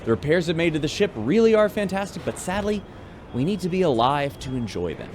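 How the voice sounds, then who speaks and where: An actor playing a folksy spaceship radio host, delivering scripted news reports in an informal, wry announcer style. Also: male voice, fairly high pitch, sing-song, a little fast, darkish tone, slight background noise. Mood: wry, reporting. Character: folksy male radio newscaster aboard a spaceship.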